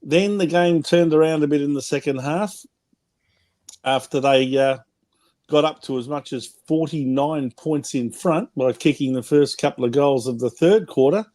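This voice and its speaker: An Australian man on a casual panel show over a video call, friendly and quick to laugh while going through the scores. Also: on the high side, dark tone.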